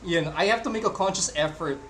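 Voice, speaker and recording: A man's voice: fairly high pitch, fast talker, fairly bright tone, soft background music. A young man from the Philippines chatting casually with viewers while he draws live, rambling with lots of fillers. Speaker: live-drawing streamer. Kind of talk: casual live-stream chat while drawing.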